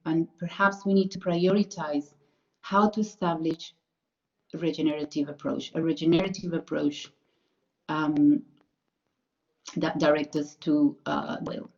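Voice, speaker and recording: A woman's voice: low voice, steady tone, a little slow, vocal fry, pauses often, a little mellow, highs cut off. A woman from Colombia with a Colombian Spanish accent, presenting her PhD research carefully, with frequent fillers and self-corrections. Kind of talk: academic presentation.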